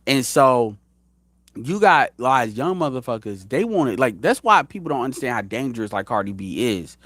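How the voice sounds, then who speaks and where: A man's voice: mid-range voice, big pitch swings, a little fast, fairly bright tone, dry recording. A man in his thirties giving blunt, profane opinion commentary as a show host, rambling with frequent restarts. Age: 30s.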